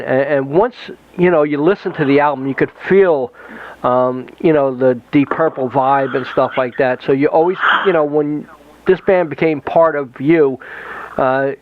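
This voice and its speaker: A man in his late fifties interviewing a musician, talking haltingly with lots of "you know" and "right" in a casual chat. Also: on the high side. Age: 50s. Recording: dull lo-fi audio.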